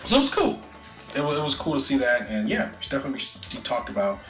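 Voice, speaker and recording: Man, podcast co-host, bright voice, rambling, casual, echoey room. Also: mid-range voice, big pitch swings, fast talker, soft background music. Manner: loose, rambling, frequent "you know" and restarts. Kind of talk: casual chat.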